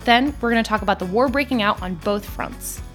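A woman raised in France co-hosting a history podcast, giving clear, steady scripted narration in an explanatory tone. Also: mid-range voice, fairly quick, soft background music. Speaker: podcast co-host.

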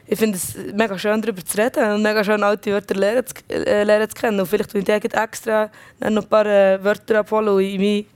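A young woman with a Bernese Swiss German accent chats playfully and casually, dropping in youthful slang. Her voice sits at a middle pitch for a woman. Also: level tone, quick pace, few pauses, a little mellow, clear, resonant tone. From Switzerland. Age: young adult. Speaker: guest, rapper. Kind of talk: casual conversation.

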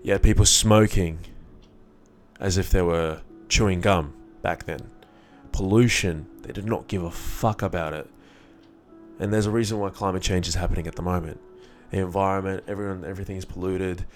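A young man speaks in a very low-pitched voice with music behind him. His delivery is casual and candid, slipping into slang as he moves between reflection and personal storytelling. He talks informally, as himself. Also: steady tone, normal speed. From born in Iraq, moved to Australia as a child. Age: young adult.

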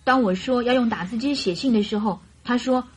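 Young bride, high slightly rough voice, chatty, girlish, carefree, coaxing, radio drama delivery. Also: fairly quick. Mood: open, naive. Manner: animated, girlish complaining and coaxing. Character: chatty, naive, carefree young bride seeking her missing groom.

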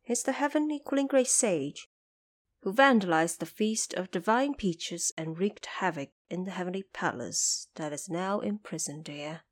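A woman voicing a serene, merciful goddess who speaks formally and with calm authority in an audio drama. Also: lowish voice, lightly acted. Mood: grave. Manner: formal, measured, assured. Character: serene, merciful female Bodhisattva, authoritative divine being.

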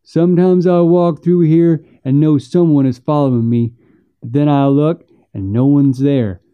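A man's voice: on the high side, unhurried, smooth, lo-fi sound, voice pushed up, lightly acted. Man playing an irritable, bossy backwoods fellow, raised voice. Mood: uneasy, insistent. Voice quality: grunting, folksy. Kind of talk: audiobook character voice.

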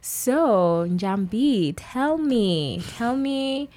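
A woman's voice: on the high side, lively intonation, mellow. A woman hosting a casual interview podcast, upbeat and laughing easily. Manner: upbeat, laughs often, drawn-out phrasing. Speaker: podcast host.

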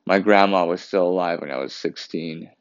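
A man's voice: deep voice, normal speed, dry recording, dull audio. A young Canadian man who wears braces, hosting his own podcast and rambling through a casual story. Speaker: podcast host. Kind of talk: casual solo podcast monologue.

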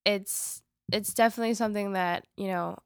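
Young woman in her 20s from queens, spanish is her first language, gravelly voice, chatty with lots of likes and ums, dry mic. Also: mid-range voice, a little fast, dry recording. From Queens, New York. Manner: relaxed, filler-heavy, laughing often. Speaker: guest. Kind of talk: casual interview chat.

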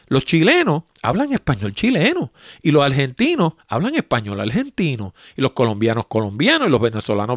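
Older Puerto Rican podcast host, chatty and explanatory, lively delivery, dry close mic. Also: male voice, high-pitched, no echo. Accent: Puerto Rican Spanish. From Puerto Rico. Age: 60s. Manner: chatty, explanatory, plain-spoken. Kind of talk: solo podcast, reading listener mail.

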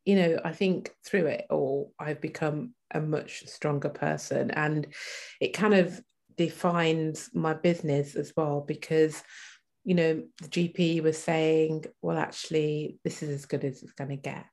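A woman in her forties shares a personal story in conversation. Her voice is quite low and often creaky. She speaks reflectively, stopping and restarting as she gathers her thoughts. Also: fairly even intonation, measured pace, darkish tone. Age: 40s. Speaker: interview guest.

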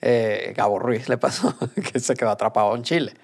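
A man's voice: medium pitch, normal speed, gravelly. A Venezuelan man with a Venezuelan accent, hosting a casual podcast chat and laughing easily. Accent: Venezuelan Spanish. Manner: relaxed, laughs often, reads out viewer comments. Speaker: podcast host.

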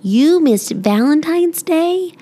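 A woman's voice: high-pitched, lively intonation, takes it slowly, dark tone, pitched above the performer's own voice. A woman voicing an earnest, literal-minded little schoolgirl in a storybook, with an emphatic, plain-spoken childlike manner. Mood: friendly.

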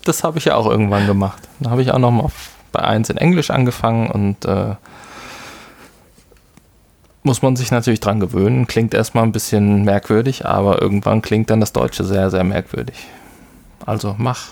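A man co-hosting a podcast, relaxed and explaining things with light laughter. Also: fairly low pitch, normal speed, creaky. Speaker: podcast co-host. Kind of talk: casual chat.

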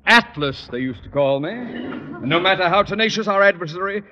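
An actor playing a pompous, nervous, middle-aged school principal with sarcastic, theatrical bluster in a radio sitcom. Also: male voice, high voice, animated, moderate pace, big-room sound. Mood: blustering indignation. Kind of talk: 1950s radio sitcom acting. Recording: dull, noisy old radio recording.

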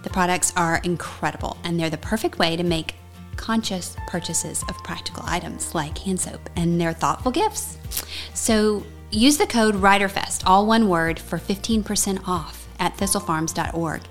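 A woman with a slightly low voice delivers a personal podcast sponsor read. Music plays behind her as she addresses listeners directly. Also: moderate pace. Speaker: podcast host reading a sponsor message.

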